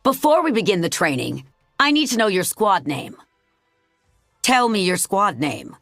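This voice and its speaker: A woman's voice: mid-range voice, sing-song, a little slow, a bit bright, no echo, studio quality, soft background music. A woman voicing a strict, no-nonsense combat coach in a video game, with a stern, clipped, commanding manner. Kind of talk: English video game voice acting.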